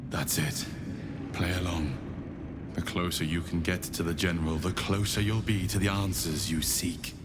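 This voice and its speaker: A man's voice: sits low, steady tone, normal speed, close to an everyday voice. An actor playing a secretive male guide in a video game, speaking in a coaxing, conspiratorial way. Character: secretive male guide urging a hero to play along. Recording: loud noisy ambience under voice.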